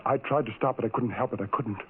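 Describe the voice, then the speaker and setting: An actor playing a lonely, tormented man in a radio horror drama, with a brooding, halting, intense confessional delivery. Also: medium pitch, husky. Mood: anguished longing. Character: lonely, tormented, self-loathing man who hides from people. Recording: tinny old radio recording, music behind.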